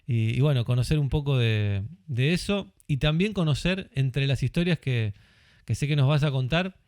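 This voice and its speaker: Uruguayan male podcast host, chatty and friendly, dry close studio sound, mid pitch. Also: normal speed, darkish tone, no echo. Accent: Uruguayan Spanish. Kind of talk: casual chat.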